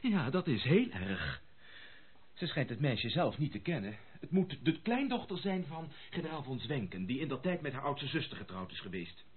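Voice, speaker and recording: Excitable young gentleman, swinging pitch, muffled recording. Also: moderate pace, husky. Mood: agitated, troubled. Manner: effusive, exclamatory, stammering when agitated. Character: excitable young gentleman heir, effusive and dramatic. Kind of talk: radio drama acting. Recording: muffled lo-fi radio play recording.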